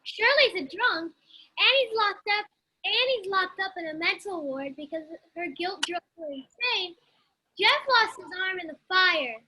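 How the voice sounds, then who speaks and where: A woman's voice: very high voice, lively intonation, unhurried, sharp tone, slight background noise, exaggerated voice, poor audio. A woman reading an exasperated, blunt young female character in an amateur sitcom table read.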